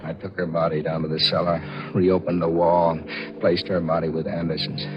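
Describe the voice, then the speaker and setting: An actor playing a tormented, desperate husband in a halting, intense 1940s radio thriller style. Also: male voice, low voice, little pitch movement, lo-fi sound, over loud music, lightly acted. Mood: haunted, tense. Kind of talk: 1940s radio thriller first-person narration and acting.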